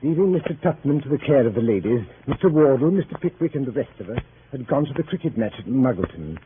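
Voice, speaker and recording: An actor as a gentleman narrator, telling a story in formal 1930s radio-drama style. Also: medium pitch, sing-song, fast talker, slight background noise, natural voice. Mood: measured. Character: gentleman narrator recounting country events. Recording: tinny 1930s radio recording.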